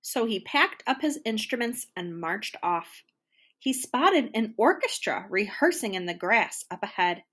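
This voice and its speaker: Female storybook narrator: unhurried, animated intonation, expressive and deliberate read-aloud. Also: medium pitch. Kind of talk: scripted children's storybook narration.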